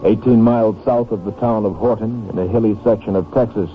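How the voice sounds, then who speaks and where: A male narrator with a lowish voice sets the scene in measured, factual 1950s radio style. The recording is narrow and tinny, with slight noise. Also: steady tone. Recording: tinny, faintly noisy old radio recording.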